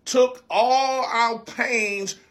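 Bright, high-voiced man preaching. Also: fairly even intonation, very slow. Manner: emphatic, repetitive preacher cadence. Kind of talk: preaching in conversation.

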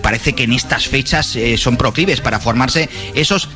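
A man's voice: mid-range voice, normal speed. A man giving a casual, chatty radio weather report with playful wording. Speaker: weather presenter. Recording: radio broadcast with music behind.

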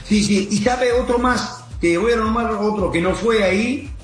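Man, high-pitched voice, rambling emphatic explainer, coach in an interview, faint music behind. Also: moderate pace, echoey room. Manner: rambling, emphatic explaining, checking the listener follows. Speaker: guest soccer coach. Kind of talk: casual interview talk.

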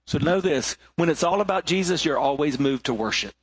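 A middle-aged male pastor preaching a sermon, swinging between rising emphasis and hushed, low moments. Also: lively intonation, fairly quick, breathy edge, no room sound, poor audio.

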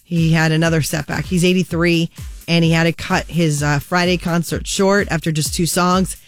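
A woman hosts a morning radio show in a close, dry studio, with faint music far underneath. She runs through celebrity news in a chatty way and drops quick jokes as she goes. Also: deep voice, flat delivery, no echo. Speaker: radio co-host. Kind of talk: casual morning radio chat. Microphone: studio microphone.

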